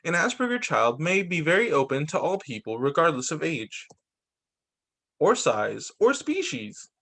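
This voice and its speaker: A man reads aloud as a teacher at children's story time, in a fairly high-pitched voice with very lively, swooping intonation. He delivers the text expressively and slips in brief conversational asides to his young listeners. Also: a little slow, gravelly. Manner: expressive reading with short spoken asides. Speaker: teacher reading picture books to pupils.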